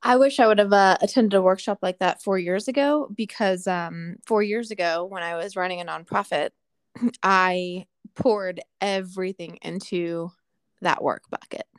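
A woman's voice: medium pitch, fairly even intonation, moderate pace, clean tone, no echo, studio quality. A woman in her late thirties chatting openly and with self-deprecating humor, with lots of fillers. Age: 30s. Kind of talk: casual chat.

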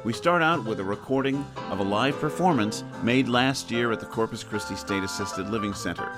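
An American man introduces an audio drama program as its host. His medium-pitched voice delivers a scripted podcast introduction with music playing behind it. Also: a little fast. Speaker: host and announcer.

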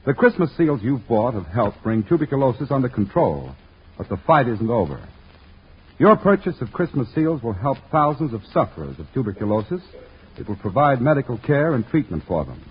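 A man's voice: medium pitch, very animated, brisk, husky. A man announcing on 1940s radio, reading scripts in an emphatic, formal, salesmanlike way. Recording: dull, scratchy 1940s radio recording.

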